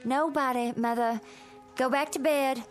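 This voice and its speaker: A woman's voice: high voice, fairly even intonation, measured pace. Secretive Southern mountain girl. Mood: guarded, troubled. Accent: rural Southern American. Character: sly, secretive young mountain woman under a witch's curse. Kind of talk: radio drama acting. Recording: radio play with music bed.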